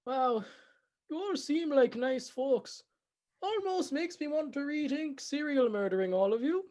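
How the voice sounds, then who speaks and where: A woman's voice: high-pitched, animated, dark tone. A woman playing a gleeful killer leprechaun. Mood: taunting. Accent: put-on Irish. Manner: taunting, gleeful, slow. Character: menacing Irish leprechaun serial killer, male. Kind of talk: comedy sketch acting.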